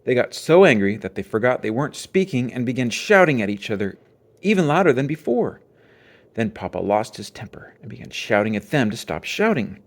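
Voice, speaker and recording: A man narrating a children's storybook aloud with expressive storytelling. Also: mid-range voice, fairly quick. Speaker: storybook reader.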